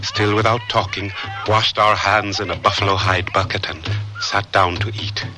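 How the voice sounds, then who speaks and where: A man's voice: lowish voice, level tone, moderate pace, over music, lightly acted, rough recording. An actor playing an earnest, lovestruck young African frontiersman, sincere and a little hesitant, in a radio drama. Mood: excited reminiscence. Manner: earnest, storytelling, hesitant at times. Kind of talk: radio drama narration and acting.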